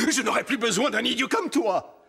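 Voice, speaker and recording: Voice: mid-range voice, expressive, unhurried, gravelly, pushed and bright, heavily acted. An actor voicing a vain, greedy, scheming show-off in a children's cartoon, boastful and theatrical, with music underneath. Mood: scornful, gloating. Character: vain, greedy, scheming show-off who mocks his donkey partner. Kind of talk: animated children's story dialogue.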